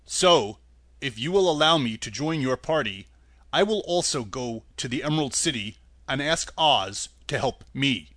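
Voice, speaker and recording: A man reading a storybook aloud, voicing a sad, polite man made of tin who speaks slowly and mournfully. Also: expressive, fairly bright tone, no echo. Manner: slow, low and mournful.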